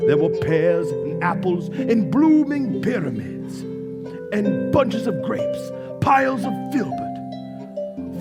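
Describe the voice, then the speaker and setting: A man's voice: sits high, heavily acted. An actor playing a grand storybook narrator in a stage radio play, savouring his words in lilting, theatrical narration. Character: grand, relishing storybook narrator of Christmas tale. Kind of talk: scripted dramatic narration. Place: theatre stage before live audience. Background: plucked guitar music.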